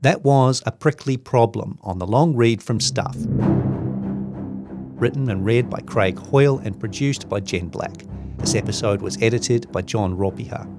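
A man's voice: fairly low pitch, unhurried, over music. A man reads a scripted podcast outro as the announcer. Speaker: podcast announcer reading credits.

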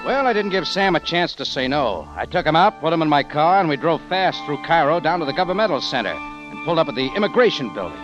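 A man's voice: a little high, big pitch swings, fast, highs cut off. An American man playing a tough, wisecracking adventurer and narrator in a 1940s radio detective drama, with a clipped, brash manner. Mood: urgent, brusque. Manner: clipped, brash, hard-boiled. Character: tough, wisecracking private adventurer in Cairo, hard-boiled narrator. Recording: old radio broadcast with music.

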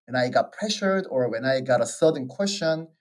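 This interviewer speaks English with a Korean accent at a medium pitch. Their sentences run long and roundabout, and the delivery is soft. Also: animated, normal speed. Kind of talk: casual interview conversation.

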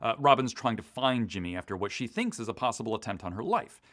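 Male writer in interview, East Coast US origin. Very animated intonation, casual, enthusiastic, prone to detailed tangents. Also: fairly low pitch, quick pace. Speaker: audio drama writer being interviewed. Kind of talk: casual, detailed behind-the-scenes chat.

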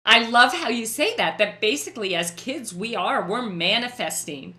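Bright, effusive woman host. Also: fairly high pitch, animated, moderate pace. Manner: effusive, gushing praise. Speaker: podcast host. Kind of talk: interview.

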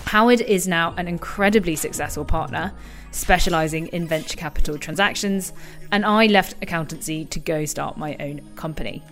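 A woman's voice: fairly low pitch, normal speed, fairly bright tone, faint music behind. Woman host reading a podcast intro. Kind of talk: scripted intro.

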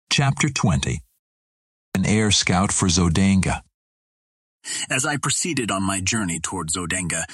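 Low-pitched man reading an adventure novel. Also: a bit bright, breathy edge, no echo. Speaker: audiobook narrator. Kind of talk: scripted narration of an adventure novel.

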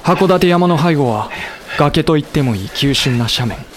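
An actor as grave samurai officer narrating war. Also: male voice, on the high side, expressive, moderate pace, a little hiss or hum, lightly acted. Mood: grave narration. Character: loyal samurai vice-commander Matsudaira Taro, recounting the war frankly. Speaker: narrator and character in audio drama. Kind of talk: dramatic Japanese audio drama narration and dialogue. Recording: dramatic music behind.

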